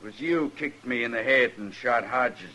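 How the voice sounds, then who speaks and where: An actor playing a suspicious, accusing cowboy prisoner in an old-time radio western. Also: male voice, mid-range voice, moderate pace, pushed and bright, poor audio.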